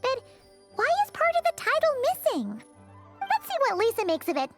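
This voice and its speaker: A woman voicing a tiny, curious, childlike female fairy companion in a video game, with a squeaky voice. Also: wildly swooping pitch, normal speed, soft background music, heavily acted. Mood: curious, puzzled. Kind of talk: video game character dialogue.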